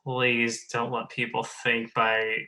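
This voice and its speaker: A man's voice: mid-range voice, monotone, slow, pushed and bright. A man chatting casually and earnestly in a panel looking back on a project, as its director and writer. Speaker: director, writer and producer of an audio drama. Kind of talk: casual retrospective panel chat.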